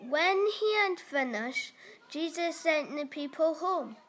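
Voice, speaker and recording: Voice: high voice, lively intonation, slow, fairly bright tone. A narrator reading a scripted story for a school puppet show.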